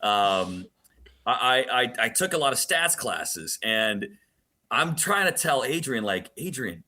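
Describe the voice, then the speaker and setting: A man's voice: mid-range voice, very animated, a little slow, pushed and bright. A man speaking as a guest broadcaster in conversational sports analysis, with wry, joking asides.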